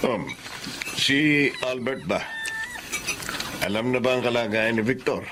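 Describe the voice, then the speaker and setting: An actor playing a considerate middle-aged doctor and husband who speaks gently and reassuringly. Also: male voice, medium pitch, measured pace, very noisy, close to an everyday voice. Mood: caring.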